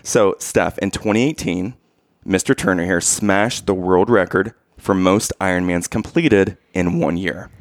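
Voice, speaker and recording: A man's voice: low voice, unhurried, no room sound, studio quality. A man hosting a podcast interview, eagerly bragging about his guest and quizzing his co-host. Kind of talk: casual interview chat.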